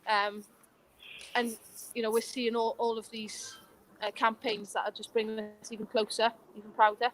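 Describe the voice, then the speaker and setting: A woman's voice: a little high, little pitch movement, normal speed, poor audio. A Welsh woman and former player answering interview questions in an earnest, passionate way. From Wales. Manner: earnest, passionate, warm praise.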